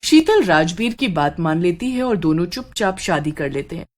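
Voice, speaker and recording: A woman's voice: lowish voice, very animated, moderate pace, a little mellow. A woman storyteller reading a scripted horror story.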